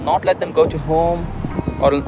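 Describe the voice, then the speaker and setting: A man with a Bangladeshi accent vlogging live from a street, speaking in a halting, earnest, repetitive way. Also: on the high side, steady tone, noisy background. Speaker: vlogger and refugee activist. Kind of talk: live street vlog, informal. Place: outdoors at a busy roadside protest.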